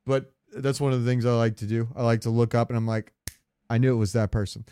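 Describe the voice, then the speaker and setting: A man's voice: medium pitch, fairly even intonation, fast, dark tone, smooth, dry recording. Sweary man chatting, close dry sound. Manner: sweary, rambling, jokey storytelling. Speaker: podcast co-host. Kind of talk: casual comedic chat.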